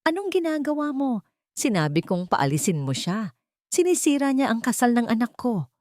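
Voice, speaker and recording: Woman doing a shrill, furious rich matriarch. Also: very animated, moderate pace, darkish tone, no room sound, studio quality. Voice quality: shrill, cracking with anger. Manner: barking orders. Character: haughty wealthy older mother, furious and commanding.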